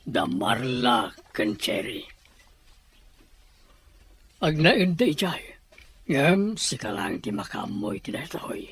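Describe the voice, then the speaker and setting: A man playing a scheming, secretive, moneyed boss in an Ilocano audio drama, with a husky voice and a Filipino accent. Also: medium pitch, sing-song, slow, pauses often, a bit bright, heavily acted. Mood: secretive, commanding.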